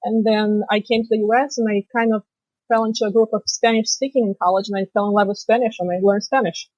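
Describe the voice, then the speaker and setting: A woman from Moldova with a Russian accent, native Romanian speaker, telling her story as an interview guest with lively storytelling. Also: mid-range voice, fast talker, no room sound, dull audio. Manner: lively storytelling, laughs easily. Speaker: guest, financial planner. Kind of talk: casual interview chat.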